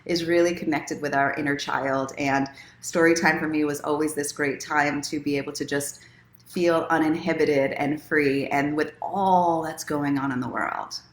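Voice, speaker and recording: Female online story-time host, low-pitched, encouraging and conversational, expressive reader, roomy echo. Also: moderate pace. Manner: encouraging, conversational, self-help tone. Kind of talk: expressive reading aloud from own book, mixed with casual livestream chat.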